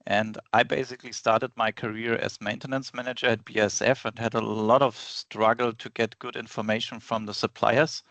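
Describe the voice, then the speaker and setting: A man introducing himself and his work on a video call, speaking in a measured, explanatory way. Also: mid-range voice, little pitch movement, fairly bright tone, no room sound. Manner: measured, explanatory, with list-like phrasing. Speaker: product manager presenting. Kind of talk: business meeting introduction.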